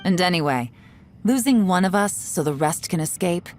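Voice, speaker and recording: A woman's voice: on the low side, animated, moderate pace, close to an everyday voice. An actress playing a shrewd, skeptical woman adventurer in a video game, with a self-assured, sardonic delivery. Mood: sardonic, firm. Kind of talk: dramatic video game voice acting. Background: faint game soundtrack music.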